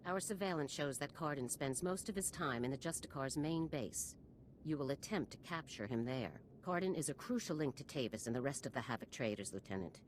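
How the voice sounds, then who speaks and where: An actress voicing a stern older female general in a video game, with a clipped, authoritative, formal manner. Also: slight background noise. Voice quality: low-pitched.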